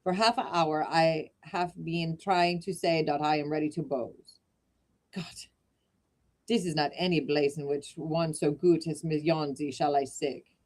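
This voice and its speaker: Woman putting on a grumpy old german-accent painter guy, low gruff voice, blustery. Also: fairly even intonation, unhurried. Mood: blustering. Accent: German-accented English, put on.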